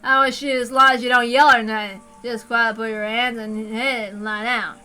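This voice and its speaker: A menacing gunman gives orders in rough, folksy speech as a woman acts him out. The voice is forceful and loud with a hard bright edge, in the manner of a dramatic story reading. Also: on the high side, little pitch movement, brisk, soft background music, low-quality recording. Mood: gruff reassurance.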